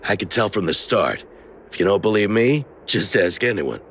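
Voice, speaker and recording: An actor voicing a slippery, cheeky dealer in a video game, glib and theatrical, quick to wheedle. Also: male voice, fairly low pitch, animated, a bit bright, a little hiss or hum. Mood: earnest, persuading. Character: slippery cheeky male dealer, cowardly under threat. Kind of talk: English video game voice acting.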